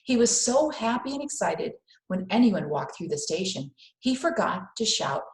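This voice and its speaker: Female storyteller, mid pitch, reading children's fiction aloud with careful expression and pauses; echoey room recording. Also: very animated. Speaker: read-aloud host. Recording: roomy, echoing indoor sound.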